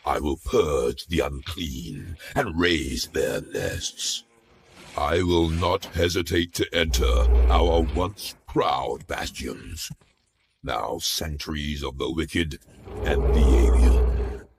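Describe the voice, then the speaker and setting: A zealous inquisitor from a dark game cinematic, extremely deep and full of gravel. He speaks with solemn menace and takes his time over every phrase. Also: slow pace, noisy background, heavily acted. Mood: fierce defiance. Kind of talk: dramatic scripted game monologue.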